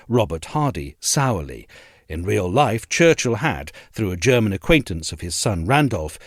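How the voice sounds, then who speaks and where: A man reading a scripted historical essay in a measured, articulate manner. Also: on the low side, no echo, clean studio sound.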